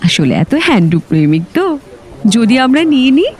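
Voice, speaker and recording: Woman acting a teasing, animated office gossip. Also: high voice, normal speed. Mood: teasing, gossipy. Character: teasing senior female office colleague, chatty and nosy. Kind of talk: audio story acting.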